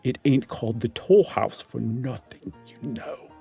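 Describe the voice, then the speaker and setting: A man voicing an indulgent, wary country innkeeper with a quiet, slow manner and rustic phrasing. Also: mid-range voice, gravelly, husky, faint music behind. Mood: indulgent concern. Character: indulgent, polite, wary country inn landlord.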